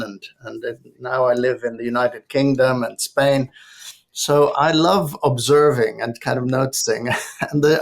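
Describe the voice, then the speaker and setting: A man from Lebanon, a guest author in an interview, telling a humorous anecdote in a lively way. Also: mid-range voice, gravelly. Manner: lively storytelling. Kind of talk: interview conversation.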